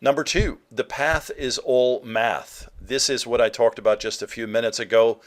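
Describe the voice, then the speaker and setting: A man's voice: medium pitch, moderate pace. Earnest man from Norway presenting. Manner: earnest, direct, frequent "uh" fillers. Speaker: digital marketing presenter. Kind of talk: webinar presentation.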